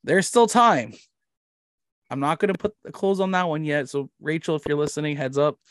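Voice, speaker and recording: A man's voice: fairly high pitch, normal speed, fairly bright tone, no echo, clean studio sound. Canadian man rambling casually on a podcast. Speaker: podcast host. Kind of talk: casual chat.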